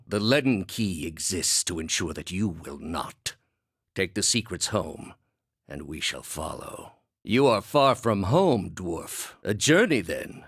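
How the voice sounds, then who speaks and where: Deep-voiced, imperious ancient inquisitor. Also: male voice, a bit bright, no echo, clean studio sound, heavily acted. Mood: stern, then contemptuous. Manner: measured, formal, condescending, deliberate delivery. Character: ancient zealous inquisitor villain, cold, imperious, disdainful older man. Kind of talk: dramatic fantasy video game voice acting.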